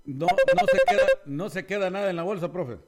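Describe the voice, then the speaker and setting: A man's voice: sits high, huge pitch swings, brisk, loud music behind the voice. A man hosting a local radio show, chatting in a friendly, teasing way with the people he talks to. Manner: friendly, teasing, calls guests profe and hermano. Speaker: radio host. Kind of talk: casual radio interview.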